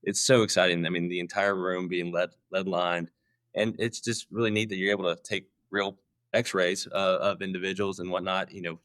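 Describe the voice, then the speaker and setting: Deep-voiced man, relaxed and hesitant. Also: no echo, studio quality. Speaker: campus president. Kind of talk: casual interview chat.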